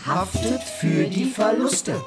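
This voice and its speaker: Demanding patron, very slow delivery, lively intonation, skeptical, radio drama over loud music. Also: female voice, medium pitch. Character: skeptical, demanding female patron confronting managers. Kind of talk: radio play acting.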